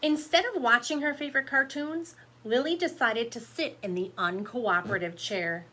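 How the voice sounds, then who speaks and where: A woman's voice: fairly high pitch, normal speed, pushed and bright. A woman teacher reading a picture book aloud to children, expressively, with explanatory asides to her young listeners.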